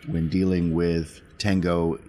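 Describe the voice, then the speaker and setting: A man's voice: sits low, flat delivery, slow, a little mellow. A man chatting casually as a player in a tabletop role-playing game.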